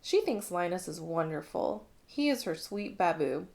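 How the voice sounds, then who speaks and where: A woman narrating a children's picture book in an expressive read-along style. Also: on the low side, normal speed, creaky. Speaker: storybook reader.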